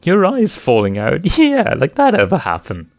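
A man's voice: wildly swooping pitch, moderate pace, dry recording, soft background music. A man voicing a playful young female lion cub who teases and giggles in a raised voice. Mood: laughing. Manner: giggly, teasing, raised pitch.

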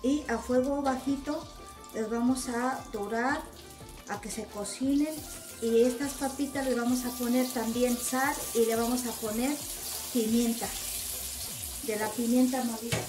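A woman's voice: on the high side, steady tone, unhurried, music in the background. A woman with a Mexican Spanish accent explaining cooking steps casually, in a homely way full of diminutives. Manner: homely, uses many diminutives. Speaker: home cook presenting recipes. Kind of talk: casual cooking voice-over, step by step. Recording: roomy echoing home recording.

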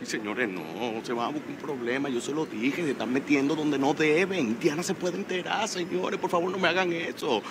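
A man's voice: fairly high pitch, a little fast, hardly any pauses, noisy background, close to an everyday voice. An actor playing an anxious, henpecked man, fretful and pleading in a Dominican accent. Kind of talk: comedy film acting. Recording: music and street sound behind.

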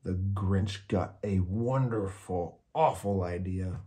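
A man with a low, mellow voice narrates a children's storybook. He reads expressively and leans on key words for dramatic effect. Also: slow pace. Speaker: storybook reader.

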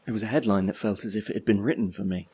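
A man's voice: fairly low pitch, fairly even intonation, very fast, mellow, breathy edge. An actor playing a wistful, solitary drifter who tells his story in a reflective, wry monologue for an audio play.

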